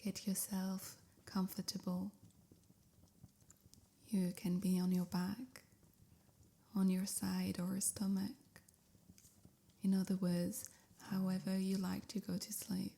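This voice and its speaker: A woman softly guiding a sleep meditation in a breathy near-whisper, with soothing, measured phrasing. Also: mid-range voice, completely flat delivery. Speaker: meditation guide. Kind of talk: guided sleep meditation, scripted.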